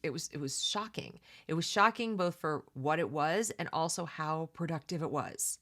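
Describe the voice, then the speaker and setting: A woman's voice: sits low, moderate pace, fairly bright tone. A woman hosting a read-along, mixing reading aloud with casual, opinionated commentary in an emphatic, conversational way that addresses listeners directly.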